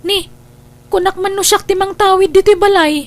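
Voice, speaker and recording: A woman voicing a grasping married daughter in an Ilocano audio drama, who turns shrill when upset. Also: steady tone, moderate pace. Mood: demanding. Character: scheming married daughter wanting the house. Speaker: voice actor.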